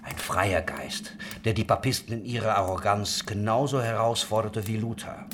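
A man's voice: lowish voice, normal speed, noisy background, close to an everyday voice. An actor playing a worldly, idealistic craftsman who leads a religious commune, musing and talkative, in a German historical radio drama. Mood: reflective.

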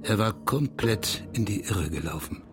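An actor playing a detached narrator of a bleak life in a literary audio drama, measured and bitter. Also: male voice, fairly low pitch, lively intonation, close to an everyday voice. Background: music.

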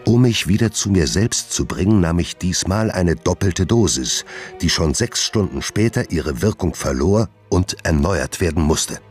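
A haunted gentleman doctor in a radio drama. His voice is extremely deep, and he narrates his confession gravely in measured, literary sentences, with music clearly heard behind him. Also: animated. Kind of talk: scripted first-person radio drama narration.

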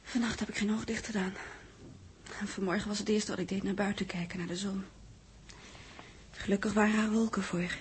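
Female actor, worried secretary in vintage radio play: mid-pitched, hushed, hesitant, slightly noisy recording. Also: flat delivery, fairly quick, long pauses, lightly acted. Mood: anxious, hushed. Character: anxious, deferential female secretary to a columnist. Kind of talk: 1960s Dutch radio drama acting.

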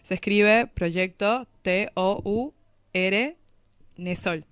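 A woman's voice: mid-range voice, very slow, fairly bright tone, no echo. A woman hosting a poetry segment in casual radio chat.

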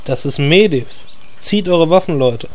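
A man's voice: a little high, expressive, a little slow, faint music behind. A man playing a blunt, sceptical adventurer in a comedic fantasy audio drama, curt and sarcastic. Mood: alarmed. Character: blunt, sceptical male adventurer leading a party.

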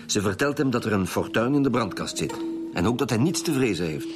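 An actor playing a suspicious, stubborn police detective in a radio drama, with a Flemish accent and a blunt, argumentative manner. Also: male voice, mid-range voice, animated, fairly quick, lightly acted. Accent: Flemish Belgian. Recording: music behind the voice.

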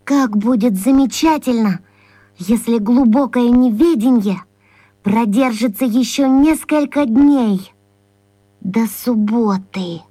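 An actress playing a small, suspicious schoolboy in a children's radio play, earnest, secretive and conspiratorial. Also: female voice, a little high, slow, mellow, slightly rough, no echo. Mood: wary, conspiratorial.

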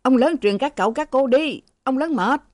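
A woman's voice: mid-range voice, brisk, mellow, no echo, close to an everyday voice. A woman reading aloud, voicing a humble, fawning old manservant. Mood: urging. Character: timid old male servant, deferential.

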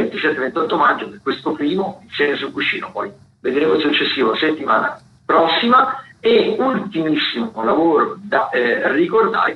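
A man hosting a radio show, reading out cultural listings in a halting, digressive, self-correcting way. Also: on the high side. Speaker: radio host. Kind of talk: unscripted radio listings of theatre and dance events. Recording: roomy, dull lo-fi radio sound, faint noise.

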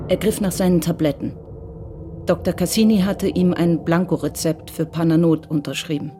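A woman reading scripted narration for a radio crime drama in a composed, measured way. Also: on the low side, fairly even intonation, warm tone, soft background music.

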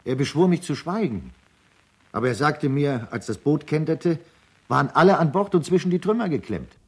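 A man's voice: fairly high pitch, lively intonation, moderate pace, breathy edge. A man in a radio play, playing a grave witness who gives testimony. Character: grave male witness relaying another man's account.

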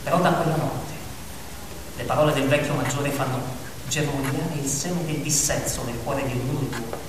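A man's voice: mid-range voice, steady tone, normal speed. A man narrating a story as scripted drama, theatrical, in short emphatic phrases. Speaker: narrator of a radio play. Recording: very echoey hall, distant, noisy.

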